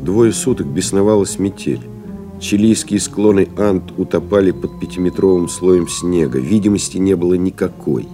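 An actor playing a pilot who recalls a lost comrade, reading solemnly, expressively and in measured literary phrases. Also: male voice, deep voice, lightly acted. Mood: solemn, reflective. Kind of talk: dramatic literary reading in an audio play. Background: music behind the voice.

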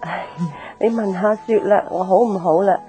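An actress playing a kindly middle-aged mother in a radio drama, gently fussing, with a Hong Kong Cantonese accent. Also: medium pitch, normal speed, over music, close to an everyday voice. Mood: kindly, concerned. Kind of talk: naturalistic radio drama acting.

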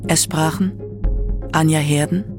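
A woman narrating a scripted radio feature in a measured, literary manner. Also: deep voice, steady tone, over music.

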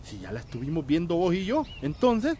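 An actor playing an eager, impatient village well digger in a radio drama, boisterous and colloquial. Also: male voice, a little high, wildly swooping pitch, a little fast, warm tone, a little hiss or hum.

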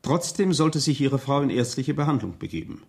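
A male police inspector in a radio drama, with a mid-pitched, gravelly voice. He is polite but probing, putting things in a formal, matter-of-fact and firm way. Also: sing-song, brisk.

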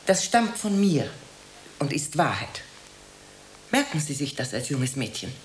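An older, lonely landlady in a radio play, with a very low voice and animated intonation. She is opinionated and matter-of-fact, handing out sayings, with slight hiss behind her. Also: measured pace. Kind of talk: radio drama acting. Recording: faint hiss.

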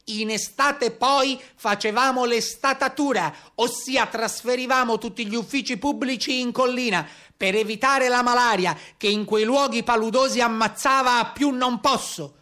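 An actor playing a young, poorly paid carabiniere who recalls hard service in a declaimed theatrical monologue. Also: male voice, very high voice, steady tone, moderate pace, pushed and bright. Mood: aggrieved recounting.

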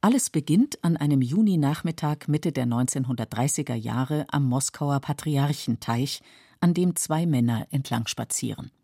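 A woman with a mellow, husky voice reading scripted documentary narration. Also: rumbling low, animated, moderate pace, no echo, studio quality.

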